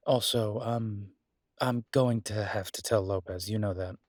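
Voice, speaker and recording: A man's voice: fairly low pitch, fairly even intonation, dry recording. Man acting a protective, stubborn lover, close dry sound. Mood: calm, planning. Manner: earnest, insistent, emphatic with pauses. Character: protective male werewolf detective, devoted, stubborn, exasperated lover. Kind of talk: dramatic roleplay audio acting, intimate one-sided dialogue.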